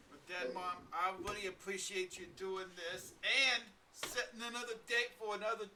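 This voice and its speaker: A man's voice: sits high, distant mic, background noise. A man in his forties hosting a casual live-stream chat, rambling with lots of fillers and chuckles. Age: 40s. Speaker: podcast host.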